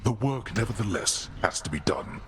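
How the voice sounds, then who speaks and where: An actor playing a gruff, stern old god-king of the underworld in a video game, speaking in a grave, imperious and grudging way. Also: fairly low pitch, lively intonation, moderate pace, a little hiss or hum.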